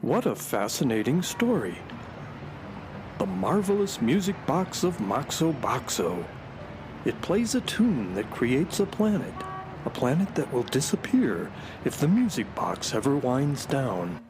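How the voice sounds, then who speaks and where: A man playing an earnest, excitable traveler on an alien planet in a children's radio play, full of wonder. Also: medium pitch, measured pace, music in the background. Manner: wondering, narrates own actions aloud.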